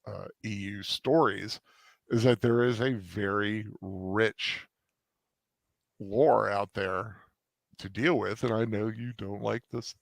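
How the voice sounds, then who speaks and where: A man's voice: on the low side, fairly even intonation, slow pace, vocal fry, no room sound. A man co-hosting a casual podcast chat, easygoing and a bit hesitant. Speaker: podcast co-host.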